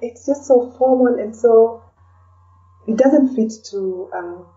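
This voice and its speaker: A woman's voice: fairly high pitch, slow, mellow. Woman speaking in a muffled, distant recording. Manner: hesitant, thinking aloud, often adds "you know". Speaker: podcast guest, blogger and podcaster. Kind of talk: casual conversational interview. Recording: dull, lo-fi, distant from the mic.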